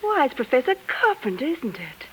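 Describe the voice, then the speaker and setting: An actress playing a lonely, romantic young bookshop woman who flatters softly and coyly in a 1940s radio drama. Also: high-pitched, moderate pace, husky, exaggerated voice, poor audio. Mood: friendly, flattering. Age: young adult. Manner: gushing, coy, breaks off mid-phrase. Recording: vintage 1940s radio recording.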